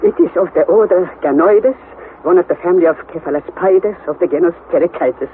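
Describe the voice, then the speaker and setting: An actor playing an elderly, pedantic professor uncle in a vintage radio drama, excitable and impatient, exclaiming and repeating words for emphasis. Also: male voice, sits high, fairly quick, close to an everyday voice. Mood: excited wonder. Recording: tinny, band-limited vintage radio, faint noise.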